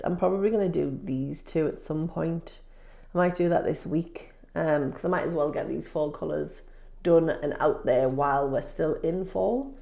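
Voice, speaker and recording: A woman's voice: lowish voice, vocal fry, dark tone. A woman chatting casually as a beauty vlogger, informal and self-deprecating, sounding sniffly and congested. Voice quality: congested, nasal. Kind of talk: casual chat to camera, makeup review. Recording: echoey room.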